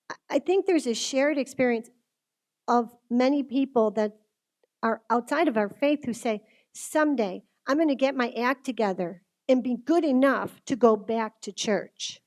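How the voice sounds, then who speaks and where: Woman, fairly high voice, informal faith speaker; earnest, conversational delivery that puts questions straight to the listener. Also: a little mellow. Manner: earnest, conversational, direct address with rhetorical questions. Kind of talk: informal religious talk to a group.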